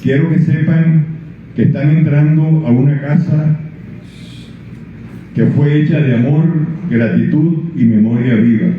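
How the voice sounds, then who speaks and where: A man's voice: a little high, slight background noise. Older man, echoey lo-fi sound. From Colombia. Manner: halting, with many restarts and fillers when speaking freely. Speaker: author presenting his book. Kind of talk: reading prologue, then answering questions. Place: book presentation event. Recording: echoey room over a tinny lo-fi line.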